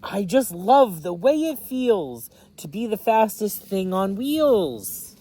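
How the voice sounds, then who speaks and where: A woman's voice: medium pitch, very animated, slow pace, warm tone, voice pushed up. A woman voicing an eager, boastful young female race car in a storybook, pleading to race.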